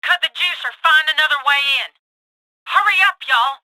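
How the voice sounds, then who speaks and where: A cocky woman heist boss in a video game, folksy and sassy. Her voice is highish and very sharp, dry through a radio filter. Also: moderate pace, no room sound, rough recording. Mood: cocky, urging.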